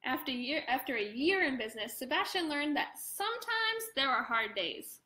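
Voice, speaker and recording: A woman reading her own picture book aloud to children in an expressive way, with casual chatty asides. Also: high voice, moderate pace. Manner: expressive, addresses young listeners directly; casual, informal commentary between passages.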